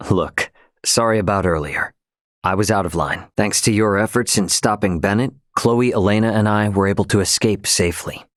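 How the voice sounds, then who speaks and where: A man's voice: fairly low pitch, moderate pace, no room sound, studio quality, natural voice. An actor playing a brash, informal young starship captain, a cocky but loyal man, in a video game. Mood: earnest, reflective. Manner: informal, slangy, plain-spoken. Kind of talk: English game dub character dialogue.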